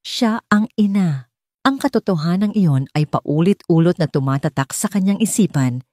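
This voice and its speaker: Casting: female audiobook narrator for romance drama, low-pitched, dark warm tone, dry close recording. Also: lively intonation, normal speed, no room sound. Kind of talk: scripted romance story narration.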